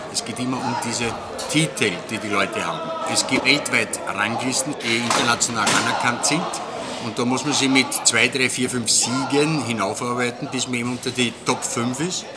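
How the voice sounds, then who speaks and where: A man from Austria with an Austrian accent, an event organiser explaining things in an interview from a sports hall. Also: medium pitch. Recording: very noisy venue ambience.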